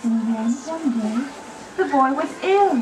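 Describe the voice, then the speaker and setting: A woman's voice: high voice, expressive, a little slow. A woman narrator reading a scripted children's story for a puppet show. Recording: distant, noisy puppet theater recording.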